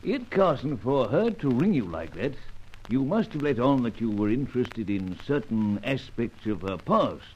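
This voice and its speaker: An actor playing a genial older British spy chief in a radio serial, hesitant and gently probing, with a British accent. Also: male voice, medium pitch, sing-song, normal speed, breathy edge. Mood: pondering. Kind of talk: old-time radio serial acting. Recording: dull lo-fi old radio recording.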